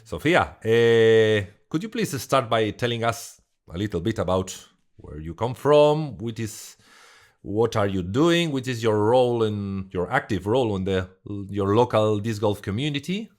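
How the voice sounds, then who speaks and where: A man hosting an interview, asking long questions in a halting way and rephrasing as he goes. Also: lowish voice, lively intonation. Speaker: interview host.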